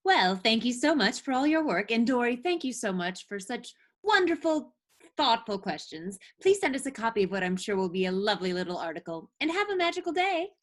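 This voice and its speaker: Campaign handler played by a woman: saccharine, patronising, highish and very animated, stage-comedy delivery. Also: fairly quick. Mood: sugary, dismissive. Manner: sugary, condescending. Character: saccharine, brisk female campaign press handler. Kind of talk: theatrical musical comedy acting.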